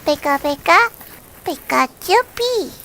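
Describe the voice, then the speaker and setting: Cute small mouse creature: extremely high, swooping squeaks, chirps its name in short bursts, audio roleplay. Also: lively intonation, unhurried, vocal fry, bright, forceful tone, a little hiss or hum, exaggerated voice. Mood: content, affectionate. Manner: babbles only its own name in short bursts.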